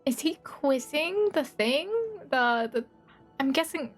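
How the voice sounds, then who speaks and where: A woman's voice: high voice, animated, slow. A woman streaming a game, giving casual live reaction commentary in short remarks. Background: faint game music.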